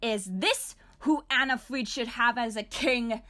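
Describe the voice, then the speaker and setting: A woman acting in an audio drama as a proud, indignant fairy courtier with a haughty, deliberate manner. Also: on the high side, pushed and bright. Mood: indignant, contemptuous.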